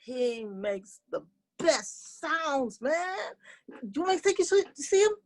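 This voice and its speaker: A woman's voice: sits high, big pitch swings, takes it slowly, voice pushed up, full character voice. A woman voicing a small, modest and friendly male cricket in an animal fable, in a casual, hip manner. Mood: bashful, friendly.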